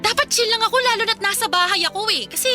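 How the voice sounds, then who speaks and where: A woman's voice: very high-pitched, fast, over music. An actress playing an irritable, work-obsessed young Filipina career woman in a radio drama, snappy, sarcastic and scolding. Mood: irritated, sarcastic.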